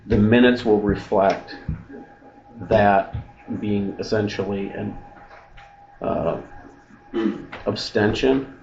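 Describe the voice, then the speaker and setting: A man chairing a formal government meeting as an official, choosing procedural wording carefully and haltingly. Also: fairly low pitch, fairly even intonation, vocal fry, darkish tone. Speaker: county commissioner chairing the meeting. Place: indoor meeting room. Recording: noisy, muffled, echoey meeting-room audio.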